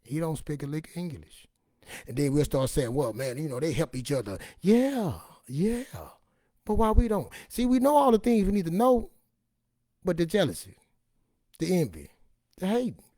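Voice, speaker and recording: A raspy-voiced man giving an informal, emphatic personal testimony in a streetwise, repetitive way, acting out bits of dialogue as he goes. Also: on the high side, very animated, moderate pace, dark tone, no room sound, clean studio sound. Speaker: online motivational and faith speaker.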